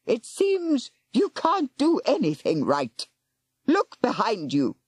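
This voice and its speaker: A narrator voicing a feisty old woman who scolds and shouts. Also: mid-range voice, wildly swooping pitch, slow, breathy edge, no room sound, studio quality, pitched above the performer's own voice, heavily acted. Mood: defiant.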